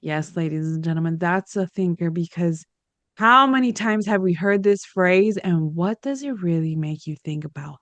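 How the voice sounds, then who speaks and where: A woman from the United States hosting an interview show, lively, chatty and emphatic. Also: lowish voice, normal speed, dry recording, dull audio. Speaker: podcast host. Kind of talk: conversational interview.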